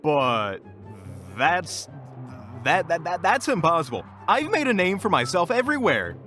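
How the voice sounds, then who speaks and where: A forceful, swooping villain of fantasy audio drama. This vain evil sorcerer talks slowly and grandly, with pompous dramatic pauses and a temper that is easily ruffled. Music plays behind him. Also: male voice, on the high side, wildly swooping pitch, exaggerated voice. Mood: flustered, indignant. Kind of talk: fantasy audio roleplay acting.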